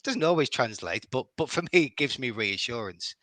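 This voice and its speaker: A man's voice: medium pitch, lively intonation, normal speed, bright, forceful tone, no room sound. A middle-aged man from Liverpool with a Liverpool accent, chatting as a podcast guest, hesitant and often repeating words. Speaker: guest fan. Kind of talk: casual podcast chat.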